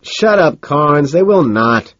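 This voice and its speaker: A man voicing an unhinged, desperate young yuppie, husky, emphatic and frantic. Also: mid-range voice, lively intonation, takes it slowly, darkish tone, dry recording, muffled recording, voice pushed up. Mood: irritated.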